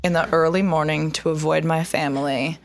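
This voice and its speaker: A woman's voice: low voice, fairly even intonation, brisk, a little hiss or hum. A woman in a tabletop roleplaying game, casually describing what her character would do in first person. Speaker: player.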